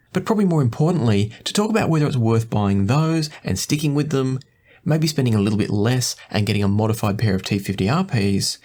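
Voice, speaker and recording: A man hosting an audio review, presenting to the audience in a measured, explanatory way. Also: lowish voice, a little mellow. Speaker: host of audio review channel. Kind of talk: presenting a product review to camera.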